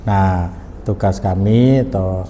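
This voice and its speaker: A middle-aged man, explaining in a measured way as an interviewed language official. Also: deep voice, dark tone. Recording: echoey hall with faint noise.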